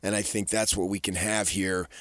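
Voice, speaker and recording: Low-voiced, earnest American sports radio man. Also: flat delivery, normal speed, fairly bright tone, no echo. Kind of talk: casual sports commentary. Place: radio studio.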